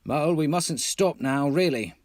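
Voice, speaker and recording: A man voicing a cheerful, brisk storybook water rat, heartily urging. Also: on the high side, a little mellow, no room sound, clean studio sound, pitched above the performer's own voice, lightly acted.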